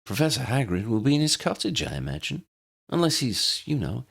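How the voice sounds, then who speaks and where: A man's voice: medium pitch, very animated, brisk, creaky, a bit bright, husky, voice pushed up, heavily acted. A man voicing a poised, courteous woman head teacher with a purring, gracious manner, in an audiobook story. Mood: brisk, polite.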